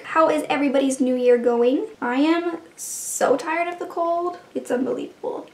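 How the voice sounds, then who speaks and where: A woman's voice: fairly high pitch, darkish tone, echoey room. A beauty vlogger, a woman who sounds a bit congested and stuffy, chatting casually through her makeup steps as she works. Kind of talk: casual makeup try-on narration.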